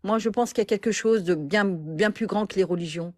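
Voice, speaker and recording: A woman's voice: mid-range voice, fairly quick, dry recording. A woman calling in to a live stream, answering hesitantly with many restarts. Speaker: caller. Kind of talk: live call-in chat.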